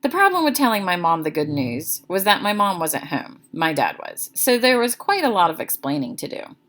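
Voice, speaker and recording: A woman's voice: lowish voice, sing-song, moderate pace, a bit bright. A woman reading a children's chapter book aloud as narrator, expressively.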